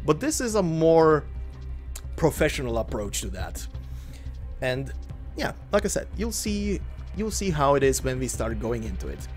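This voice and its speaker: A man's voice: unhurried, long pauses. A man from Croatia, a native Croatian speaker, chatting casually as the host before narrating a game story. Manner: chatty and rambling, with lively pitch jumps. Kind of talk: casual spoken intro to a game story reading. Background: faint looping game menu music.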